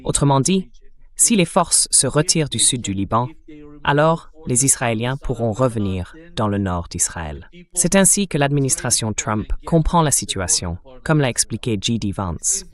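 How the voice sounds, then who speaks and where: A man's voice: mid-range voice, sing-song, moderate pace. Synthetic male interview host. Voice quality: synthetic text-to-speech voice. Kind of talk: AI-dubbed news summary narration in an interview.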